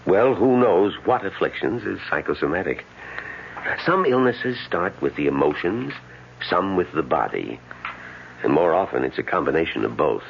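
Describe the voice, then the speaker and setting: A suave healer in an old radio drama, measured and gently needling. The man's voice is very low with a gravelly creak. The recording is dull and noisy. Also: very animated, heavily acted. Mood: knowing, needling. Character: suave, unruffled healer treating patients by mind power.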